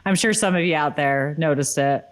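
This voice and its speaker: A woman's voice: low voice, moderate pace. A woman co-hosting a podcast, talking informally and conversationally. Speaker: podcast co-host. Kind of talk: casual, informal chat.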